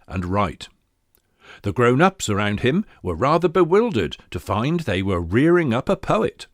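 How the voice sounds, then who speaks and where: Very animated male poetry presenter. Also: medium pitch, no room sound, studio quality. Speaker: presenter introducing poems. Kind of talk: scripted narration.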